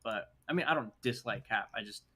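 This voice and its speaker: A young man chatting casually in a group, with an animated, emphatic and slangy way of talking. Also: on the low side, brisk, breathy edge. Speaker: podcast host.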